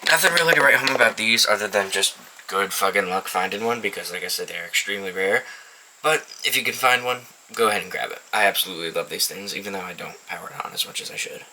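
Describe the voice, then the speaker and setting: A man's voice: on the low side, fast talker, pushed and bright, slight background noise, low-quality recording. A young man casually showing off his weather radio collection, rambling and swearing freely, in an indoor room. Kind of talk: casual show-and-tell vlog. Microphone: camera mic.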